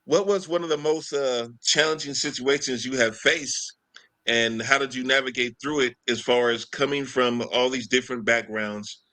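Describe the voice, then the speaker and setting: A man co-hosting a podcast interview, asking long, halting questions full of fillers and repeats. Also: mid-range voice, fairly even intonation, sharp tone. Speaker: podcast co-host.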